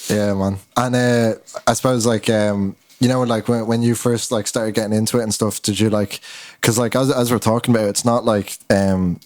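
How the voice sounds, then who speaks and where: A man's voice: lowish voice, steady tone, moderate pace, darkish tone. A man hosting a podcast in casual conversation, agreeing often as he goes. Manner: agreeable, frequent affirmations. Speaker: podcast host. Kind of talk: casual chat.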